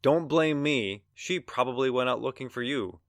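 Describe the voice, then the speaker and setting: A man acting a mouthy, sarcastic, excitable busybody in a pulp radio drama, needling in a colloquial working-class way. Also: medium pitch, moderate pace, dry recording, clean studio sound, natural voice. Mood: sarcastic, accusing.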